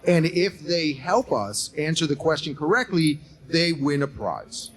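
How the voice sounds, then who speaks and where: A man's voice: fairly high pitch, lively intonation. Upbeat man hosting a live podcast. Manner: upbeat, laughs often, rushes through explanations. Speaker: podcast co-host and game host. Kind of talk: casual live podcast chat. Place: college campus, live before an audience.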